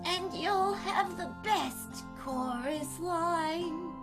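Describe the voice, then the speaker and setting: A woman's voice: very high voice, exaggerated voice. A woman playing a dying woman who says a tender, wry farewell in an improvised radio play. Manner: slow, halting farewell. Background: soft music.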